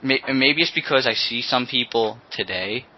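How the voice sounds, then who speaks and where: Teen male podcast host, bright voice, stop-start delivery, casual chat. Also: medium pitch, low-quality recording. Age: teens. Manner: halting, repeats words as he restarts.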